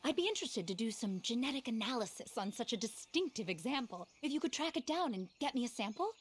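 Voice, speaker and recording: An actress playing an eccentric, passionate naturalist in a video game, with a well-spoken, theatrical, earnest delivery. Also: female voice, fairly high pitch, very animated, fast, a little hiss or hum. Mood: eager. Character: eccentric, passionate female frontier naturalist, indignant animal lover. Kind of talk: video game cutscene acting.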